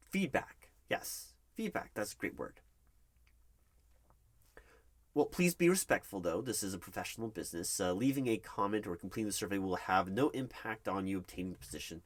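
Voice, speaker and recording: Man acting a low-voiced, nervous hiring manager. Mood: flustered, accommodating. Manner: hesitant, formal, wordy corporate phrasing. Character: nervous, polite male corporate hiring manager conducting an interview. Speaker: roleplay performer. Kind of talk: one-sided ASMR roleplay of a job interview.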